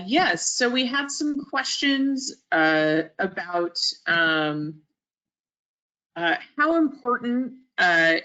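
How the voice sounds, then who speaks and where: A woman's voice: on the low side, sharp tone, low-quality recording. A woman reading out audience questions hesitantly, with many fillers, over a video call. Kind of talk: webinar moderation.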